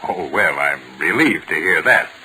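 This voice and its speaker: A smug dad, middle aged, lowish lively voice, polite and formal, old radio show. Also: male voice, a little slow, exaggerated voice. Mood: smoothly pointed. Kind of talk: 1940s radio comedy acting. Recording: faintly hissy vintage radio recording.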